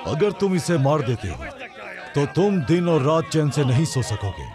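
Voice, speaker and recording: A man's voice: fairly high pitch, very animated, normal speed. An actor dubbing a shrewd city nobleman who firmly reasons with a crowd, warning them of the consequences. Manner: firm, reasoning, persuasive. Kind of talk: dubbed historical drama acting. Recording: crowd and music under dubbed voice.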